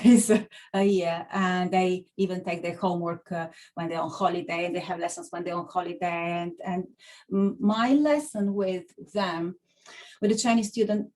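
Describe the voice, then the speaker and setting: A woman's voice: medium pitch, darkish tone. Bulgarian-accented woman, chatty. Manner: chatty, with frequent fillers and restarts. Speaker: guest speaker. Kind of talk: online talk. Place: home video call. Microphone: computer mic on a video call.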